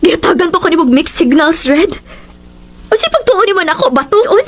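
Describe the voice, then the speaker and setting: A woman's voice: extremely high pitch, fairly quick. An actress with a Cebuano accent playing an emotional, lovesick young woman in a radio drama. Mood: hurt, angry. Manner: emotional, expressive. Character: Sylvia, hurt, lovesick young woman in love with her best friend.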